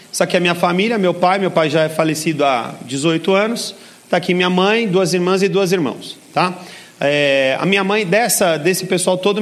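A middle-aged Brazilian man speaking at a business seminar in a large hall, energetic and persuasive, talking straight to the audience. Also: sits high. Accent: Brazilian Portuguese. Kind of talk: motivational seminar talk.

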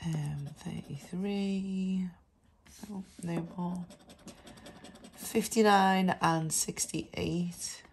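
Woman with a stuffy cold, low-ish voice, unhurried chatty reading of numbers and letters. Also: steady tone, gravelly, darkish tone, slight background noise. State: congested with a cold. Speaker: scratch card vlogger. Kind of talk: casual narration over scratch card video.

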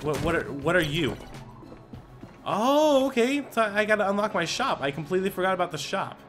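Excitable young man with lively pitch. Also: brisk. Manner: excitable, swears, frequent fillers and whoops. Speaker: gaming channel host. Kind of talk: casual let's play commentary. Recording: game music and gunfire behind voice. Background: game music and gunfire.

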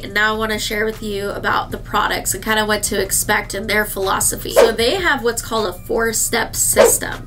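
A woman in her thirties, a licensed esthetician, chatting to camera in a skincare review, a little nasal from a cold and speaking directly to her viewers. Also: on the high side. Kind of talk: casual product review to camera. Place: indoor skincare treatment room.

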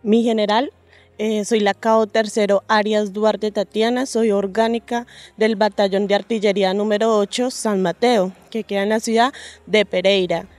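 A young woman with a Colombian accent from Bogotá introduces herself in formal military style. Her voice is medium in pitch, and music plays softly behind. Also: steady tone, normal speed. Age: 20s. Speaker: army corporal.

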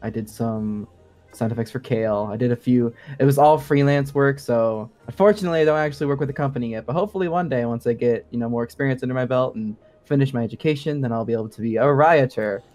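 Young man chatting casually, mid pitch. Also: quick pace, a little mellow, soft background music. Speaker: guest, game sound designer. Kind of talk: casual interview chat.

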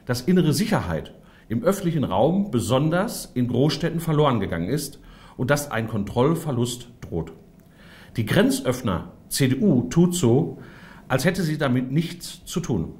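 Man with lively, swinging intonation. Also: medium pitch, measured pace. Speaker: politician, party spokesman. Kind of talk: prepared political statement, read out.

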